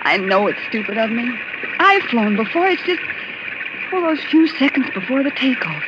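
A woman's voice: on the high side, very animated, normal speed. An actress playing an anxious, polite young woman traveler, nervous and hesitant, in 1940s radio style. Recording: dull, noisy old radio recording.

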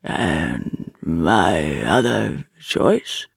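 A narrator doing a huge horned fire spirit, ancient and bone-tired, talking in a deep rumble that drags out every word. The voice is heavily gravelled and sometimes sinks to a husky whisper, swinging widely in pitch. It sits in a dry storybook recording. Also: very animated, dry recording, heavily acted. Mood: weary, solemn. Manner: slow, solemn, sorrowful.

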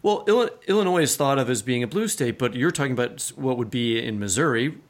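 Male podcast host, American, average pitch, conversational and somewhat halting delivery. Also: animated. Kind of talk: conversational interview.

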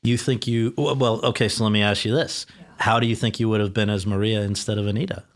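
Low-voiced male podcast host. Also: flat delivery. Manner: eager, affirming with quick yeahs. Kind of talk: conversational interview.

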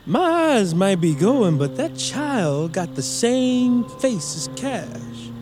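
A man's voice: high-pitched, big pitch swings, slow, a little mellow, faint music behind, full character voice. An actor playing a proud, plainspoken father with a Southern American accent in a historical audio drama. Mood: wary, bitter. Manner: folksy, plainspoken.

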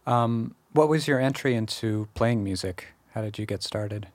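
Male podcast interviewer, fairly deep, informal delivery, dry room with no echo. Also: moderate pace. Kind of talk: casual interview chat.

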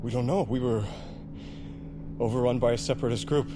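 A man's voice: medium pitch, fairly even intonation, a little fast, background noise, natural voice. A man playing a defensive American turncoat federal agent in an audio drama.

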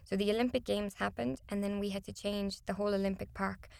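A woman whose first language is not English explains contract pitfalls in an interview, emphatic and steady. Her mid-pitched voice has a gravelly fry, and the sound is close and dry. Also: fast talker, no room sound. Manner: explanatory, emphatic, some restarts. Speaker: contracts and disputes consultant. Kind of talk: interview answers.

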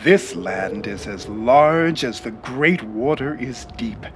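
An actor playing the grandiose, ominous narrator of a satirical sci-fi serial, speaking in a dramatic, deliberate way. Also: male voice, mid-range voice, expressive, a little hiss or hum. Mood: solemn, ominous. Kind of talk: theatrical scripted narration.

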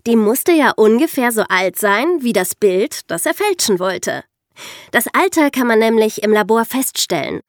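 A woman's voice: fairly high pitch, animated, normal speed, dry recording, studio quality. A woman hosting a podcast, explaining things in a storytelling way with rhetorical questions. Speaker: podcast host.

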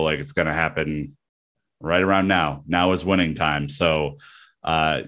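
A man hosting a football podcast, talking in a relaxed, joking way with plenty of fillers. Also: deep voice, clear, resonant tone. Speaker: podcast host. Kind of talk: casual podcast chat.

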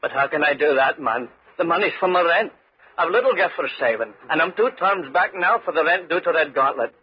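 A man's voice: a little high, lively intonation, a little fast, breathy edge. An actor playing a humble, uneasy tenant farmer who tells his tale in earnest, folksy 1940s radio style. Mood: pleading, reluctant. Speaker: narrator and lead character. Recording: muffled, noisy 1940s radio recording.

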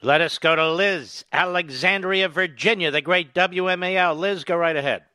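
A man hosting a talk radio show, emphatic and animated, introducing callers. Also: sits high, normal speed, clear, resonant tone, no room sound, clean studio sound. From Philadelphia.

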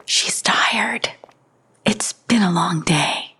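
An actress playing a soft-spoken, unhurried woman caregiver in an audio drama, with a breathy, husky voice. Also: lowish voice, in a whisper, exaggerated voice. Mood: hushed.

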